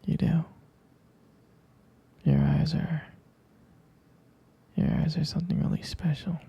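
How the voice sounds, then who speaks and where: A tender, doting boyfriend in an ASMR sleep roleplay, acted by a man. His voice is very dark and relaxed, full of crackling fry and husky breath. He murmurs hesitantly and intimately, as if soothing a beloved partner to sleep. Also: exaggerated voice. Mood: adoring. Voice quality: soft, breathy whisper with low gravelly fry. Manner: slow, tender, hesitant with pet names.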